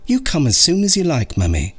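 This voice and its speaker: A concerned married woman from a spooky storybook, acted out by a male reader. The voice is raised well above a man's usual pitch and has a husky edge. It moves briskly, with music underneath. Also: huge pitch swings, heavily acted. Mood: brisk, reassuring. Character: grown-up daughter, married mother, brisk and concerned. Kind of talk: storybook character voice in audiobook narration.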